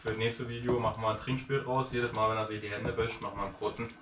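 A man's voice: lowish voice, level tone, quick pace. A man tosses in teasing, jokey remarks from off to the side, well away from the mic. His voice bounces around a small, echoey kitchen over heavy background noise. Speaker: friend behind the camera. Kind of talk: joking banter. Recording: roomy, distant and noisy.

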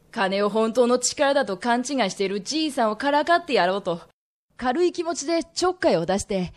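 A woman's voice: a little high, a little mellow. A woman playing a remorseful, earnest young merchant in a radio drama, telling his story as an emotional confession. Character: reformed young merchant man, earnest, remorseful, pleading son.

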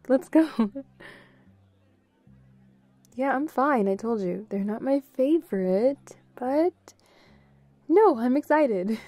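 A woman performs a playful, affectionate young girlfriend in an audio roleplay. Her voice is a little high yet mellow, with a relaxed, unhurried pace. She is bubbly and giggly, speaking intimately to her partner. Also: no room sound, full character voice. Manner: giggly, breaks into short excited repeats.

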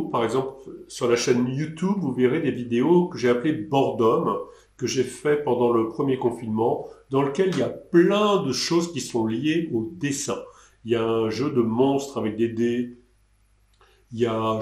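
A man's voice: mid-range voice, darkish tone. Rambling man on a roomy webcam. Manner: hesitant, rambling, many restarts. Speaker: artist answering questions. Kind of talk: live online Q&A. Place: indoor room at a desk. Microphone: webcam on a desk.

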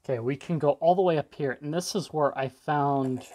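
Rambling man with a higher-pitched voice. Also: a little fast, dark tone. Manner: rambling, trails off mid-thought. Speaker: tech video creator demonstrating a device. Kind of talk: casual tech demo, off the cuff.

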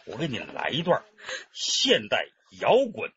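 Swaggering, animated Northeastern Chinese robber. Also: male voice, on the low side, unhurried, vocal fry, highs cut off, a little hiss or hum, exaggerated voice. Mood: chatty, boastful. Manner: folksy, mocking, drawling colloquial talk. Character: smug, chatty male robber toying with his captive. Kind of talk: radio drama acting.

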